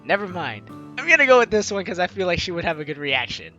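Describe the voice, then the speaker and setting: Casting: male Let's Play host, bright high voice, jokey and theatrical, music faintly underneath. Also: very animated, fast talker, muffled recording. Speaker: gaming video host. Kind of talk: playful Let's Play commentary and dramatic read-aloud.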